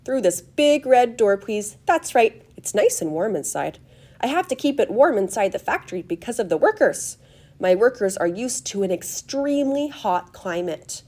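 A woman voicing an excitable, eccentric old male factory owner in a storybook, high and fluty, with effusive, gushing exclamations. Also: moderate pace. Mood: brisk, cheery.

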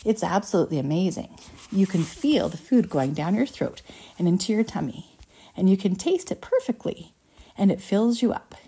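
A woman voicing an excitable, boastful male candy inventor in a storybook, with a grandiose, gushing, emphatic manner. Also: mid-range voice, moderate pace, husky.

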